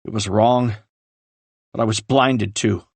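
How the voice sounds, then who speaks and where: A defensive, remorseful brother, as a male narrator voices him, speaking low and earnest as he justifies himself. Slow, dry and close to the mic. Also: fairly even intonation, dry recording. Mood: pleading. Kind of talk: audiobook character reading.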